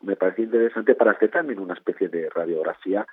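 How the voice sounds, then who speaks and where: Guest novelist, fifties, low husky voice, tinny line, halting and reflective. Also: male voice, no room sound. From Spain. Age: 50s. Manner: thoughtful, many repeated words and tag questions. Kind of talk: reflective interview answers.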